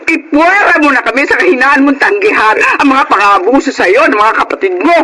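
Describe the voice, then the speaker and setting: A woman's voice: high-pitched, animated, brisk, a crowd in the background, low-quality recording. A woman playing an outspoken middle-aged Filipino wife in a radio drama, with an emphatic, dramatic delivery. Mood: exasperated. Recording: clear background noise.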